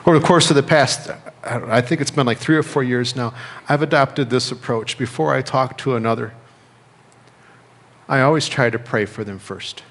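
A middle-aged American man preaching a sermon as a pastor, speaking in measured phrases with pauses and repetition. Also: medium pitch, a bit bright. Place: church sanctuary, indoors at a lectern. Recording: reverberant church hall.

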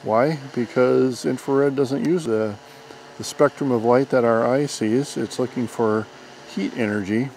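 An American man in his fifties talks through a product demonstration in a conversational, explanatory way. His voice sits at an average pitch and his delivery is plainspoken. He is recorded outdoors, with light background noise. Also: unhurried, a little mellow. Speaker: product reviewer and drone pilot. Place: outdoors on an open lawn.

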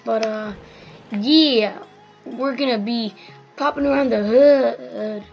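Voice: mid-range voice, slow, creaky, muffled recording. An eleven-year-old child giving casual, rambling gameplay commentary in a slightly strained, slangy voice. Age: child, almost twelve. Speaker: gaming video host. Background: background music.

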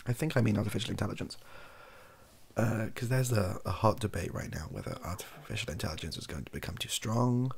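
A young man with a British accent chats softly in a very low voice full of creak. His delivery is rambling and improvised, swinging freely up and down in pitch as he makes dry, offhand asides. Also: expressive, a little fast. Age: young adult. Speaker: host of a comedy ASMR channel. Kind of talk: improvised soft-spoken monologue, casual chat. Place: small cluttered room indoors.

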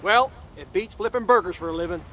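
An actor playing a brash, blunt, simple-minded soldier in a video game. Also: male voice, high-pitched, fast, bright, forceful tone, slight background noise. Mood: cocky.

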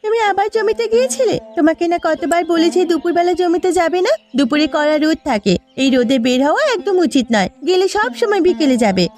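A woman's voice: very high-pitched, expressive, fast, few pauses, a little mellow, soft background music. A woman voicing a poor farmer's caring wife in a cartoon, earnest, solicitous and pleading in manner. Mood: worried. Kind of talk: Bengali cartoon voice acting.

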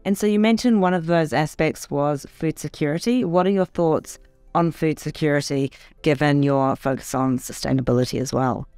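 A woman's voice: sits low, normal speed, darkish tone, clear, resonant tone, dry recording, faint music behind. A woman in her thirties hosting an interview series, with a polished and upbeat delivery. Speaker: host. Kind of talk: scripted introduction and interview questions. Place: studio set with city backdrop. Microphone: desk microphone.

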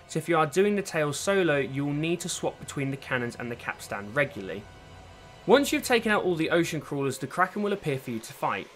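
A man's voice: a little high, a bit bright. A man reading a scripted video game walkthrough as host, in an instructional, step-by-step way. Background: music under the voice.

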